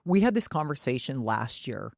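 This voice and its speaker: A woman co-hosting a podcast with casual, opinionated sports talk, blunt and indignant in manner and quick to swear. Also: rumbling low, sing-song, creaky, darkish tone, no echo, studio quality. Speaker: podcast co-host.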